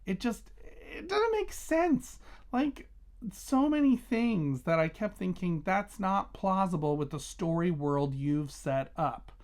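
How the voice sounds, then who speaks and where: A man's voice: sits high, sing-song, slow, darkish tone. Man chatting casually, emphatic about books. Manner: emphatic and conversational, stressing and repeating key words. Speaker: book reviewer and vlog host. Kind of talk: casual chatty book review to viewers.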